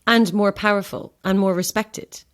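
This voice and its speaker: A woman's voice: medium pitch, steady tone, moderate pace, lightly acted. Wry, curious Irish woman tourist, acted. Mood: musing. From Ireland. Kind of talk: naturalistic audio horror drama dialogue.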